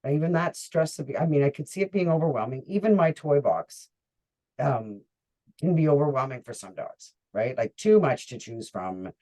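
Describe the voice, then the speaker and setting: A man hosting an interview, chatting in an animated, enthusiastic way with quick asides. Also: on the high side, low-quality recording. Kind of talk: casual interview chat.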